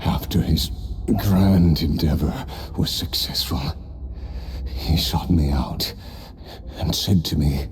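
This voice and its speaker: A man's voice: extremely low pitch, takes it slowly, long pauses, in a large hall, a little hiss or hum. A man voicing an immortal, driven warrior in a game, reflective and wry. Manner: reflective, wry, quiet laughs. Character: immortal, driven male warrior recalling his past. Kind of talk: game voice acting.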